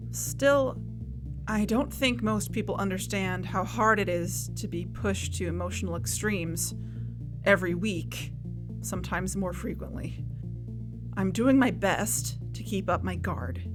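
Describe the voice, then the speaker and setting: A woman acting a guarded, thoughtful young investigator in a naturalistic audio drama, speaking in a measured, hesitant, introspective way. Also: medium pitch, lightly acted. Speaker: podcast narrator and lead character. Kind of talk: naturalistic audio drama, reflective narration and dialogue.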